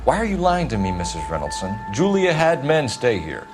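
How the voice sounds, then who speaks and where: A man's voice: mid-range voice, wildly swooping pitch, normal speed. An actor playing a firm, probing American police detective in a video game, clipped and formal in his questioning. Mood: probing, pressing. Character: firm, probing male 1940s Los Angeles police detective. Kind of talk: video game cutscene acting. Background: music.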